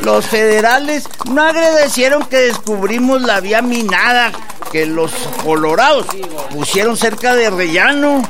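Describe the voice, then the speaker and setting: An actor playing an agitated revolutionary soldier, loud and urgent, in a radio drama. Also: male voice, expressive, a little slow, full character voice. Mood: aggrieved. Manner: urgent, loud, high-strung. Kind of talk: Spanish-language radio drama acting. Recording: noisy outdoor battle crowd behind.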